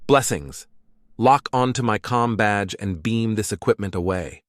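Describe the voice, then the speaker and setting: A man playing a calm, stubborn priest who captains a starship in an audio drama, speaking in terse, firm lines. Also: lowish voice, measured pace, natural voice. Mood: firm, defiant. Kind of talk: fan audio drama acting.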